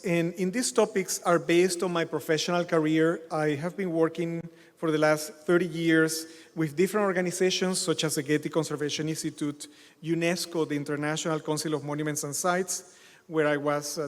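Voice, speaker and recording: A middle-aged man giving a conference keynote, halting with many fillers. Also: fairly high pitch. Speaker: keynote speaker. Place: large hotel conference hall. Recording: long hall reverb.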